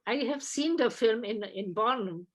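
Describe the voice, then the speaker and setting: A woman moderating a lecture, asking questions haltingly and searching for words. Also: a little high, expressive.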